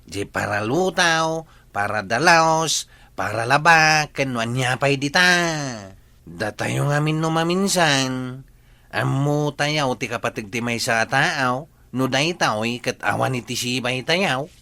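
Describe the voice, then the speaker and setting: A man's voice: medium pitch, slow pace, sharp tone, no echo. A man with an Ilocano accent playing a teasing, joking village bachelor in a radio comedy, with a chuckling, needling manner. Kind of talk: radio comedy drama acting.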